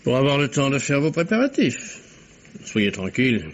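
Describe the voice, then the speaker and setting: An actor playing a shrewd, unruffled detective in a vintage French radio mystery, speaking in a dry, terse, knowing way. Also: male voice, mid-range voice, very animated, moderate pace, lightly acted. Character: shrewd, unruffled male amateur detective, dryly sceptical listener. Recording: dull, muffled old radio recording.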